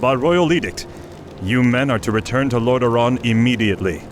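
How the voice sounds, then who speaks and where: A man's voice: medium pitch, moderate pace, natural voice. Man playing a formal royal emissary, noisy backdrop. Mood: formal, firm. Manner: formal, official. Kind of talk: fantasy game dialogue.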